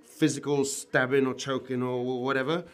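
An actor playing a nosy, morbid male pub regular in a dark comedy audio drama, rambling and cheeky in a matter-of-fact way. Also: medium pitch, little pitch movement, normal speed, soft background music, close to an everyday voice. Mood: musing.

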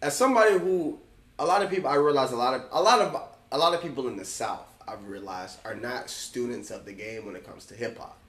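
A man from the American South co-hosting a podcast, talking in an animated, opinionated and profane way. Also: mid-range voice, fast, roomy sound. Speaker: podcast co-host. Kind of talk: casual chat.

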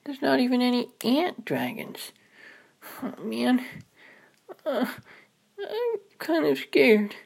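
A woman voicing a timid seven-year-old girl who talks in a high, wavering, breathy voice. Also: big pitch swings, unhurried, a little mellow, heavily acted. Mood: frightened.

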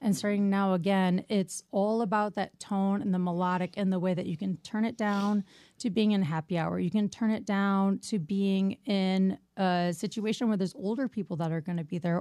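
A woman's voice: mid-range voice, dark tone, no room sound. A woman co-hosting a podcast in a small band studio, chatting enthusiastically and swearing freely. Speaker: podcast co-host. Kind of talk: casual chat.

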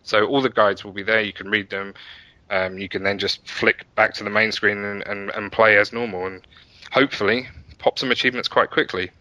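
A man's voice: on the low side, fairly even intonation, fairly quick, bright, forceful tone, dry recording, lo-fi sound. A man speaking as an interview guest, chatting casually and telling stories with enthusiasm. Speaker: podcast guest, app and website developer.

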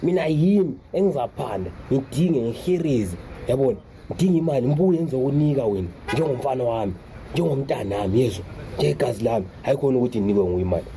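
A proud father in a radio drama, played by a man, protective of his son. He speaks very fast and colloquially, repeating himself, in a very mellow tone over faint noise. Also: medium pitch, expressive, close to an everyday voice. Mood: earnest, protesting. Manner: repetitive, exclamatory, colloquial.

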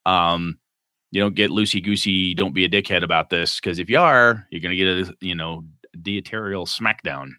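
A man's voice: low-pitched, fairly even intonation, normal speed, pushed and bright, no room sound, clean studio sound. A North American man co-hosting a podcast, chatting in an informal, slangy way and reading listener messages aloud. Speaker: podcast co-host.

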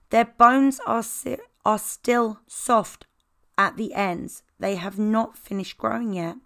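Hoarse, husky woman podcaster. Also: mid-range voice. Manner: explains in calm, measured steps. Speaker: podcast host and dog trainer. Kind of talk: informative podcast talk.

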